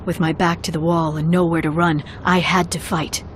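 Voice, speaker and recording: A woman playing a weary, guarded young female ex-soldier in a video game, speaking in a restrained, grave, measured way. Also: deep voice, little pitch movement, a little hiss or hum, lightly acted. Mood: somber, bitter. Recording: loud music under the voice.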